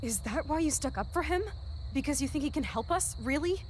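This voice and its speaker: An actress playing a brash, loyal young best friend in a video game, with an American accent and a slangy, heartfelt delivery. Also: female voice, high voice, animated, a little fast, slight background noise. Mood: grateful, supportive.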